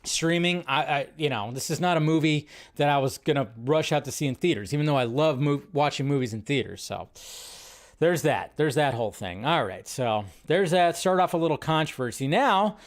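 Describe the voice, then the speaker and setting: Chatty rambling man, higher-pitched voice. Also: lively intonation, moderate pace. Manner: chatty and rambling, loses his train of thought. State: sleep deprived. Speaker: movie news host. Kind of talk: casual live-stream commentary.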